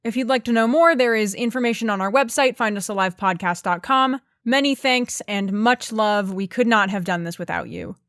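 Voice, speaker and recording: A woman's voice: on the high side, moderate pace, hardly any pauses, a bit bright, no room sound, studio quality. A woman reading a scripted podcast announcement as the show's creator. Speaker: show creator reading credits.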